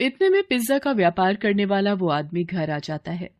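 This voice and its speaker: A woman's voice: medium pitch, lively intonation, moderate pace. A woman reading a scripted children's moral story as narrator.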